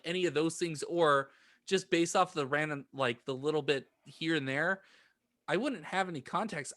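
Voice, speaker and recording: A man co-hosting a podcast in casual group chat, talking in a halting, rambling way. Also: a little high, bright, forceful tone. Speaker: podcast co-host.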